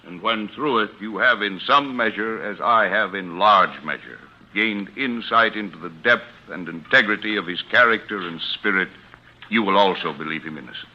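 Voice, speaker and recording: An actor playing a commanding, unflappable defense attorney, assured and formal, in 1940s radio drama style. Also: male voice, lowish voice, normal speed, lightly acted. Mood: earnest, courtly. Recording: muffled old radio broadcast.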